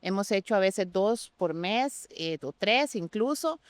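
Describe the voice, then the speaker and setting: An expressive woman speaks as an interview guest. Her voice is smooth and clean, recorded close and dry. She explains things with open enthusiasm, in a conversational way. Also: mid-range voice, measured pace, no room sound. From Costa Rica. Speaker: guest sommelier.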